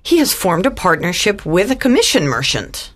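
An actress playing a meddling, romantic middle-aged aunt in a dramatized audiobook, effusive and dramatic. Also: female voice, fairly low pitch, big pitch swings, moderate pace, a bit bright. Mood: gushing.

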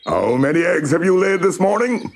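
A man voicing a loud, bullying cockerel who barks orders like a drill sergeant in a children's storybook. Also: high voice, sing-song, moderate pace, voice pushed up, exaggerated voice. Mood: barking orders. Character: bossy bullying military cockerel, loud and crowing.

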